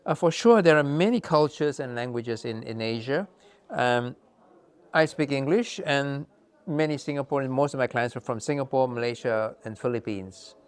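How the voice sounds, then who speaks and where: A man giving a measured, earnest talk as a counselor, answering questions with many fillers and pauses. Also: medium pitch, clear, resonant tone.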